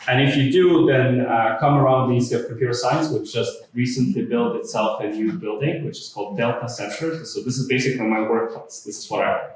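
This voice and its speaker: A man's voice: medium pitch, brisk, few pauses, a little hiss or hum. A man giving a friendly, chatty, informal lecture. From Ukraine. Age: 30s. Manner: friendly, chatty, self-deprecating. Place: lecture venue. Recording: echoey hall, mic far off.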